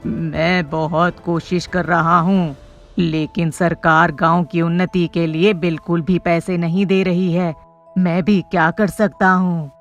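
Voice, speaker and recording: A sympathetic, helpless village headman in a cartoon tale, acted by a woman. The voice is on the low side, measured and apologetic, over background music. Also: level tone, highs cut off. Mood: apologetic, reassuring.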